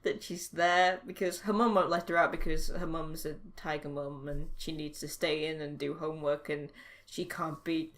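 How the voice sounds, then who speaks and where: A woman's voice: deep voice, steady tone, normal speed. A woman from Yorkshire with a light Yorkshire accent, chatting casually with wry remarks and giggles. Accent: soft Yorkshire English. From Yorkshire, England. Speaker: podcast co-host. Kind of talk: casual chat.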